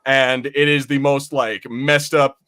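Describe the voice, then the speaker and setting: Guy on a podcast, normal pitch, rambly fan review chat, swears a lot. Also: flat delivery, unhurried, sharp tone. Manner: profane, rambling. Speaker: podcast co-host.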